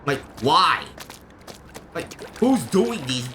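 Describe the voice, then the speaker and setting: A man's voice: fairly high pitch, sing-song, drawn-out words, sharp tone. A man giving unscripted live game commentary in a rambling, opinionated way, explaining as he goes. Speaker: game streamer. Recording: clear background noise.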